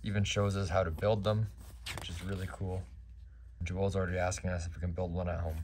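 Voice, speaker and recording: A man's voice: deep voice, little pitch movement, fairly quick. A man in his thirties talking casually as a travel vlogger, recorded on a handheld camera. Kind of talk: casual travel vlog talk. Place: outdoors on trails and inside a log cabin. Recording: noisy handheld camera, ambient sound.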